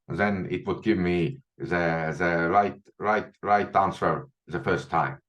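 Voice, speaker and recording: Man, 40s, Estonian accent, very low voice, live coding tutorial, thinks aloud, repeats words, small home room. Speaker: instructor.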